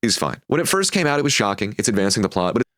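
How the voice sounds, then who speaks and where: Fast, low-pitched man narrating sardonically. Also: sing-song, fairly bright tone, no echo, clean studio sound. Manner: sardonic, wordy. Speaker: video essayist. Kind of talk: scripted opinion narration.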